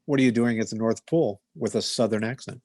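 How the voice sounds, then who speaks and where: A man playing a deadpan, clipped police detective narrating his case in a 1950s radio parody style. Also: lowish voice, fast, no echo, clean studio sound. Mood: dry questioning.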